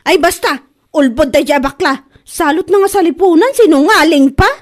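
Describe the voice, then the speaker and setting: A woman playing a quarrelsome, gullible, indignant woman in a comedy audio drama, with an Ilocano accent and drawn-out exasperated delivery. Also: high-pitched, lightly acted. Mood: indignant, scolding. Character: quarrelsome, gullible woman accusing a bag seller of lying. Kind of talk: Ilocano comedy radio-style drama acting.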